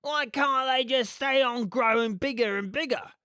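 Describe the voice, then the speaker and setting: A woman's voice: high voice, moderate pace, sharp tone, no echo, studio quality, voice pushed up. A woman voicing a simple male henchman in a storybook, whiny, fawning and ingratiating. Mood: puzzled.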